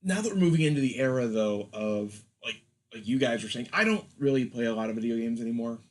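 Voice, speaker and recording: Man, thirties, medium pitch, casual podcast co-host, steering the conversation. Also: lively intonation, fast, a little mellow. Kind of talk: casual discussion, steering the topic.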